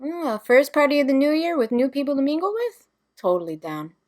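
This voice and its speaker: A woman's voice: high-pitched, big pitch swings, a little fast, a little mellow. A woman playing a brash, sarcastic, carefree young party lover in an audio drama, with casual, salty, sardonic delivery. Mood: breezy, playful.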